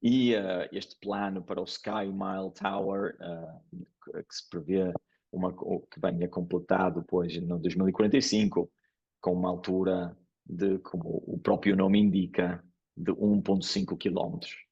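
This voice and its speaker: Low husky italian-accented guy lecturing, lots of ehs and restarts, kinda muffled lo-fi sound. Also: fairly even intonation, normal speed, a little mellow. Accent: Italian with English and Porto traces in Portuguese. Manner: hesitant, many fillers and restarts. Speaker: engineering professor giving a conference talk. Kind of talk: academic lecture with slides. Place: lecture hall. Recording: dull lo-fi lecture recording.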